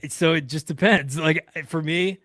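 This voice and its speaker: A man, roughly in his thirties or forties, telling anecdotes in casual, slangy, animated chat. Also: fairly high pitch, unhurried, dry recording, studio quality. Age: 30s or 40s. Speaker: radio interviewer on a podcast panel.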